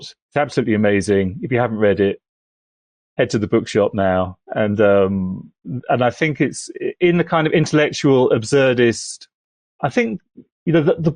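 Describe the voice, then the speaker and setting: A man with an average-pitched voice talks as a podcast guest, recorded very dry with no room sound. He is analytical and thoughtful, often breaking off and restarting a phrase. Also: a little mellow, no echo. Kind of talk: thoughtful critical discussion.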